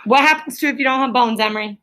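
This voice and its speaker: A woman with a fairly high, very bright and forceful voice leads a classroom lesson as a schoolteacher. She prompts with questions, repeats them for emphasis and checks in with short tags. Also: racing. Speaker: elementary school teacher. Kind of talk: classroom question-and-answer teaching. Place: classroom with pupils.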